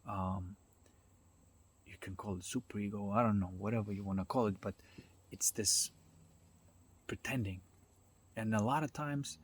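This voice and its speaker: A man giving an informal, unscripted talk as a spiritual self-development speaker, halting as he searches for words and often checking in with the listener. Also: lowish voice. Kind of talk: informal unscripted explanatory monologue. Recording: noticeable background noise.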